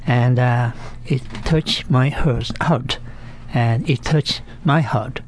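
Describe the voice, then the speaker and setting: Elderly Japanese man speaking haltingly. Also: mid-range voice, a little hiss or hum. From Kyoto, Japan. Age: 80s. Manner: halting, repeats words. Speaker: interview guest. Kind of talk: recounting in a studio interview. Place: studio.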